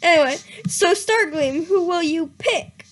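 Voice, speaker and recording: Slow, giggly young woman reading aloud. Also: very high voice. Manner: breaks into laughter, stumbles over misspelled words, reads slowly. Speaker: reader. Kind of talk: comedic dramatic reading of fan fiction.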